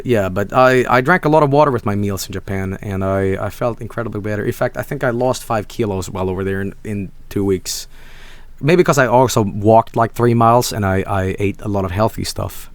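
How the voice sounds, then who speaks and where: A hoarse man, chatting casually on a stream, rambling with many restarts and soft asides. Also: medium pitch, no room sound. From Sweden.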